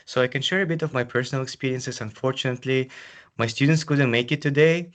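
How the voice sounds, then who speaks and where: A man's voice: medium pitch, quick pace, a bit bright. A man from Kazakhstan who works as an international student advisor, talking in a friendly, easygoing way on a video call. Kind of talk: casual panel talk.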